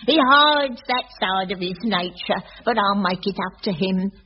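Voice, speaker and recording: An actress with a Cockney accent playing a chirpy, blunt, amorous cleaner in a radio sitcom. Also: female voice, mid-range voice, expressive, a little slow, close to an everyday voice. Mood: cheeky, chirpy. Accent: Cockney London. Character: brash amorous middle-aged Cockney cleaner. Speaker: sitcom character. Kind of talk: 1980s studio radio sitcom acting. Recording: dull old radio recording with studio audience laughter.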